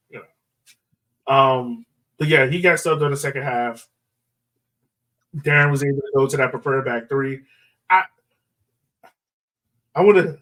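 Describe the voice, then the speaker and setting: A man's voice: mid-range voice, a bit bright, recorded from a distance, poor audio. A man hosting a soccer podcast, talking through analysis in a casual, conversational way full of filler phrases. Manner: conversational, peppered with "like" and "you know". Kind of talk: casual sports analysis chat.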